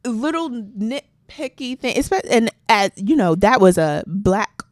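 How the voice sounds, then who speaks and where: A soft-spoken young woman co-hosting a podcast, telling stories in a casual chat and acting out quoted voices. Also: a little high, measured pace, no echo, studio quality. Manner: animated storytelling with quoted voices. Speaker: podcast co-host.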